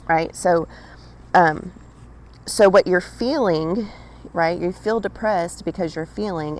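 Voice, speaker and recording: A woman with a raspy voice giving a casual channelled spiritual message, talking straight to the listener with frequent "right?" and "okay?". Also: fairly low pitch, warm tone, slight background noise.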